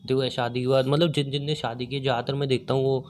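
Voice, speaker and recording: A man's voice: mid-range voice, little pitch movement, quick pace, darkish tone, slight background noise. A young man chatting casually on a recorded phone call, teasing and questioning his partner. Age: young adult. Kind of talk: casual couple's chat.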